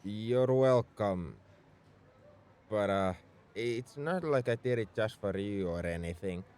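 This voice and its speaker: A man's voice: fairly low pitch, slow pace, darkish tone, smooth. A man playing a tsundere mech engineer in a roleplay audio drama, scolding and sarcastic with worry underneath. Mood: gruff, defensive. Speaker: voice actor.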